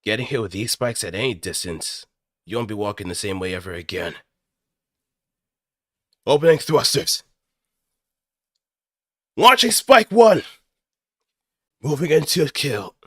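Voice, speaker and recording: A cocky, fired-up mecha pilot, acted out by a man delivers punchy anime game battle lines. The voice is bright and forceful with big swings in pitch, in very dry sound. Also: very animated, unhurried, long pauses, no echo. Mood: menacing boast, then battle-cry shouting. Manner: theatrical, punchy.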